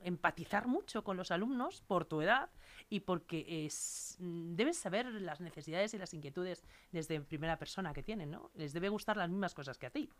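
Low-voiced woman interviewer. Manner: warm, admiring, rambling questions with fillers. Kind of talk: interview questions.

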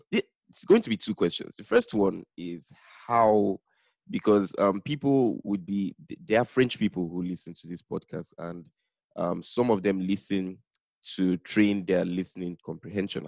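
A man's voice: low voice, moderate pace, vocal fry, a little mellow, no echo. A man hosting a podcast and quizzing his guest in a playful, teasing way. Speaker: podcast host. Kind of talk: casual podcast interview.

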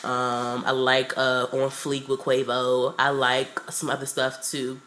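A woman's voice: extremely low pitch, a little hiss or hum. Profane, nasal young woman chatting. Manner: profane, animated, drawn-out and hesitant. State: nasal from a cold. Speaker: podcast co-host. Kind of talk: casual chat.

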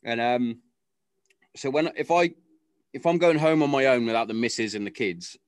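A man's voice: mid-range voice, moderate pace, clear, resonant tone, dry recording, clean studio sound. A man from Hereford, telling stories in casual, swear-heavy banter. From Hereford, England. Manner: sweary, laddish storyteller. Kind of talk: casual chat.

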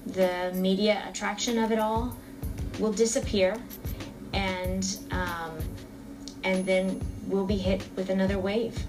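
A woman's voice: mid-range voice, level tone, takes it slowly, music in the background. A woman answering questions in an unscripted news interview. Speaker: shelter worker being interviewed.

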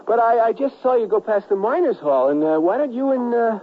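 A man's voice: very high voice, expressive, fairly quick. An actor playing an eager, effusive, educated newspaperman in a radio western, gushing and cultivated. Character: eager, talkative, educated newspaperman, a friendly gossip. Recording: tinny, slightly noisy vintage radio drama.

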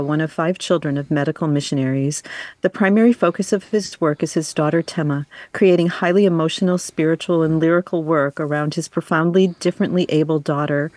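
A female co-host of a radio arts show, introducing a guest in an earnest, measured way. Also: deep voice, darkish tone, no room sound.

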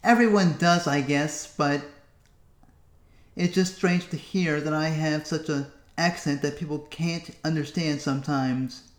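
A man's voice: a little high, steady tone. Musing podcast man in an echoey room. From United States. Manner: musing, self-talking, with long pauses. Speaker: podcast host. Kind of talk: solo reflective podcast monologue.

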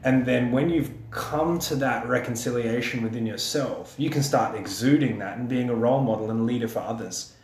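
A man's voice: medium pitch, a little fast, few pauses, darkish tone. A young man hosting a podcast, speaking earnestly and encouragingly in long, building sentences. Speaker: podcast host. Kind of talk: reflective podcast conversation. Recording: roomy echo.

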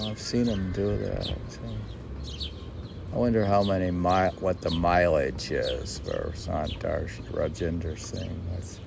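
A man reading his own verse and rambling in spontaneous commentary, musing aloud and answering his own questions. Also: low voice. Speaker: poet and podcast host. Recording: clearly noisy background.

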